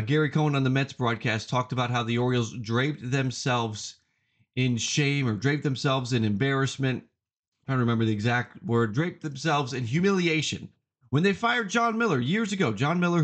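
A man hosting a sports podcast, giving opinionated commentary in an emphatic way and repeating phrases for effect. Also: mid-range voice. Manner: emphatic, deliberate repetition for effect.

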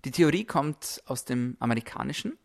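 A man's voice: medium pitch, expressive, normal speed, vocal fry. Emphatic man hosting a motivational podcast. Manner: emphatic, rhetorical questions and repetition. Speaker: podcast host, health coach.

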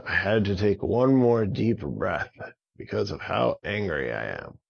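A man's voice: on the low side, big pitch swings, measured pace, gravelly, muffled recording, heavily acted. A man voicing an upset, hot-tempered magic dragon in a children's story, with emphatic, dramatic delivery. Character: angry, upset young male magic dragon.

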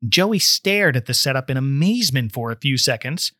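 A man's voice: mid-range voice, lively intonation, normal speed, pushed and bright, no echo, studio quality. A man reading a story aloud as dramatic scripted narration. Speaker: guest story reader.

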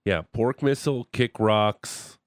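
A man's voice: medium pitch, takes it slowly, no echo. A man with an Ontario accent hosting a sports radio show, chatting casually and poking fun at himself. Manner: chatty, self-mocking. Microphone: studio mic.

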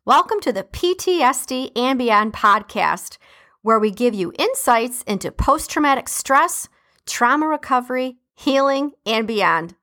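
Middle-aged female podcast host, Detroit Midwest accent, slightly high voice, casual and emphatic. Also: expressive, unhurried. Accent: Detroit Midwest American. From Detroit, USA. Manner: warm, chatty, emphatic, with laughs and shout-outs. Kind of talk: casual solo podcast talk.

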